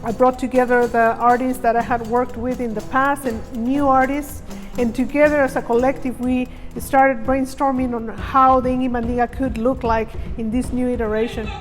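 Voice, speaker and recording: A woman directing a theater production, explaining its aims in a measured, reflective documentary interview. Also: a little high, level tone, soft background music. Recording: long reverb.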